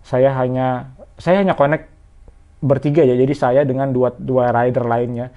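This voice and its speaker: A man's voice: mid-range voice, fairly even intonation, normal speed, muffled recording. A man talks viewers through a casual product review in a conversational, explanatory way. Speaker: motorcycle gear reviewer.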